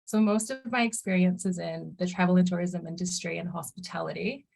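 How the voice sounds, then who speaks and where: A woman's voice: fairly low pitch, fairly even intonation, a little fast, vocal fry, darkish tone. A young woman in her twenties, a mentee from New Zealand, sharing her career story in a friendly, eager way. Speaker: mentee and consultant.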